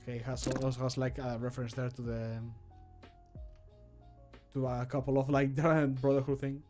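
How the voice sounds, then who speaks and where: A man's voice: mid-range voice, level tone, normal speed, mellow. A man streamer chatting casually to viewers, muttering to himself in a self-deprecating, sweary way. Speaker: streamer recording voice lines for a game mod. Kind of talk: casual livestream chat to viewers. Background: music playing behind the voice.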